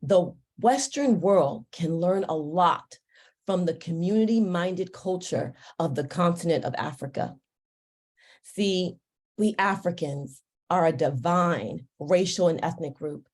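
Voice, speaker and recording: A woman whose first language is Yoruba, giving a slow, deliberate and uplifting formal speech at an awards ceremony. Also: lowish voice, a little mellow. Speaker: ceremony speaker.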